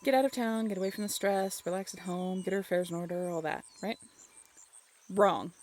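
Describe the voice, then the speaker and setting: A woman playing an excitable young woman in an audio roleplay, telling a story casually with conversational asides. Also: mid-range voice, a little fast, slight background noise, close to an everyday voice. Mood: animated storytelling. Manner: informal, giggly, conversational asides with rhetorical questions.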